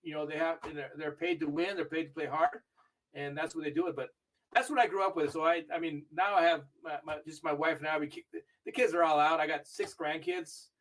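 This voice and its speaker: An older man with a fairly high voice talks in a chatty, storytelling way as a podcast guest. He grew up in Argentina and perks up when recalling famous matches. He sits a little back from the microphone, so he sounds slightly distant. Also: fairly even intonation, a little fast, fairly bright tone. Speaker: interview guest, video review manager. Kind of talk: casual podcast interview.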